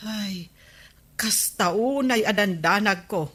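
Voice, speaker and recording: A woman's voice: medium pitch, slow, fairly bright tone. A woman playing a worrying village neighbour who trades gossip in a Filipino radio comedy drama, with an Ilocano accent. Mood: worried.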